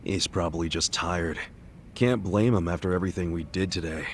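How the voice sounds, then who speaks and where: A man's voice: low-pitched, brisk, a little hiss or hum. An actor with an American accent playing an earnest, easygoing, streetwise man in a video game, talking casually and bluntly. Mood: curious, teasing. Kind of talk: dubbed video game cutscene acting.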